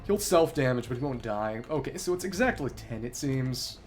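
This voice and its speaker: Man with animated pitch, thinking aloud over gameplay. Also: moderate pace. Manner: analytical, talking through tactics to himself. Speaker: game streamer. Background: music behind the voice.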